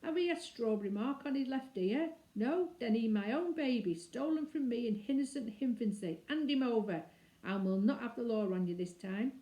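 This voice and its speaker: A woman voicing a rough, melodramatic male traveller in a storybook, theatrical and rustic in his speech. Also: a little high, expressive, normal speed, dark tone, lightly acted. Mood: melodramatic, demanding. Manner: theatrical, rustic grammar, emphatic. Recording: roomy, echoing indoor sound.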